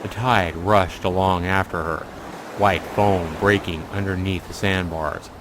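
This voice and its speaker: A man reading a story aloud as a streamer, in expressive narration with casual asides. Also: low voice, a little slow, background noise. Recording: music playing behind the voice. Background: music.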